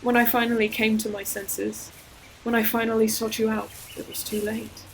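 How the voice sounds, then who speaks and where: A woman performing an ancient female forest demon in an audio roleplay, solemn, poetic and deliberate, a tender and sorrowful protective old friend. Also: a little high, flat delivery, slight background noise, lightly acted, poor audio. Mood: mournful. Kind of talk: scripted audio roleplay monologue, slow dramatic storytelling. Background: ambient environmental sound effects.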